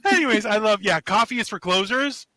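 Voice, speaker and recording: A man hosts an online comedy show over a video call, talking in a high, bright voice. His pitch swings all over as he rambles, swears and jokes, and his voice sounds dry and close. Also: lively intonation, a little fast, dry recording. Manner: profane, rambling, playful. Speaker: show host. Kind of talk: casual banter hosting an online comedy show.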